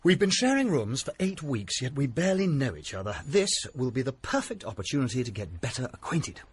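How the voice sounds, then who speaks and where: A young actor playing a jovial, pushy student in a radio drama, talking in a breezy way. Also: male voice, medium pitch, very animated, fairly quick, breathy edge, no room sound. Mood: wheedling. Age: young adult. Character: hearty, sporty young English student.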